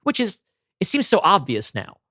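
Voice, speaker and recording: A man's voice: high voice, huge pitch swings, moderate pace, bright, forceful tone, dry recording, clean studio sound. A man co-hosting a podcast in casual creative discussion, emphatic and often restarting his sentences. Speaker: podcast co-host.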